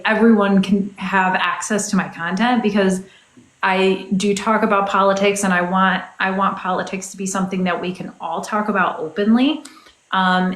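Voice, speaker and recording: Chatty commentator lady on a call, lots of ums, roomy echo. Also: mid-range voice. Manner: chatty, laughs often, many fillers. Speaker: political commentator. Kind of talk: casual chat.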